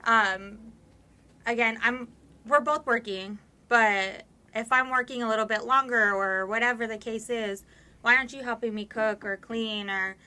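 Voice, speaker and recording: A woman's voice: fairly high pitch, normal speed, bright, forceful tone, clear, resonant tone. A woman in her twenties, a podcast guest, talking candidly and at length with many fillers. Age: 20s. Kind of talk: casual conversation.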